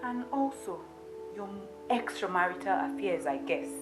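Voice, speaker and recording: A woman's voice: mid-range voice, animated, moderate pace, distant mic, close to an everyday voice. A woman playing an indignant, reproachful figure who questions and accuses in a film melodrama. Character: indignant, reproachful woman confronting a married couple. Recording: echoey room, faint music behind.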